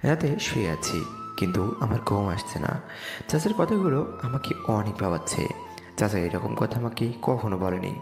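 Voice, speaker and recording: A man's voice: fairly low pitch, big pitch swings, normal speed, vocal fry. A young man narrating a dramatised story in the first person. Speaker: narrator and lead voice actor. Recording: echoey reverb with music behind.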